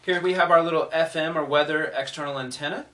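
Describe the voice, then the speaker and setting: A man's voice: on the high side, steady tone, quick pace, fairly bright tone. A man giving a casual unboxing review, thinking aloud in a conversational way. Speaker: product reviewer. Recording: distant mic, faint noise, clicks.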